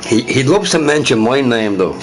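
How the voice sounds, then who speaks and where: Lively rambling man streamer, music behind. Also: mid-range voice, normal speed. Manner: casual, profane, laughs often. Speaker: livestreamer. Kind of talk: rambling solo stream chat.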